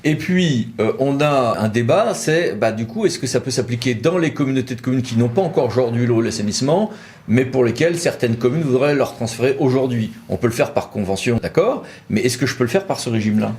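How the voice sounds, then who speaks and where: A man's voice: mid-range voice, lively intonation, brisk, darkish tone. A man presenting a legal explainer in a conversational, didactic way, thinking aloud through rhetorical questions.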